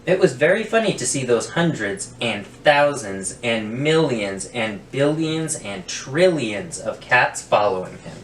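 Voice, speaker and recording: A man reading a children's picture book aloud with deliberate storytelling emphasis, in a roomy, distant recording. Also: mid-range voice, big pitch swings. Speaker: guest story reader. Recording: roomy, distant mic, faint noise.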